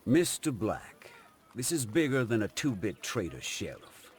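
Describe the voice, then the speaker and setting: An actor playing a haughty, touchy American cavalry officer in a game cutscene, pompous and commanding. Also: male voice, lowish voice, big pitch swings, measured pace, faint music behind. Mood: imperious. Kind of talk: video game cutscene acting.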